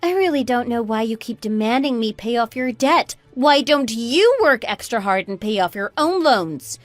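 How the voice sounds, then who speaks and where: Casting: fed-up young wife and mother, played by a woman, fairly high voice, argumentative, radio drama, dry sound, faint music beneath. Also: no room sound, close to an everyday voice. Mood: defiant. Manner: argumentative, sarcastic, pointed. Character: indignant, fed-up young daughter-in-law and mother. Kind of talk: scripted radio drama dialogue.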